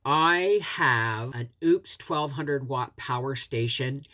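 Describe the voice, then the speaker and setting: A woman's voice: rumbling low, takes it slowly, bright, forceful tone. A middle-aged woman with a head cold and a scratchy throat, a homesteading host chatting earnestly to camera. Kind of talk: casual talk to camera.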